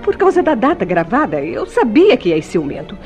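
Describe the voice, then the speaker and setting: A woman's voice: medium pitch, wildly swooping pitch, normal speed, darkish tone, highs cut off. A woman with a Brazilian accent playing a defensive wife in a radio soap opera, protesting and pleading theatrically. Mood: earnest, pleading. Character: defensive wife pleading against jealous accusations. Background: faint music behind the voice.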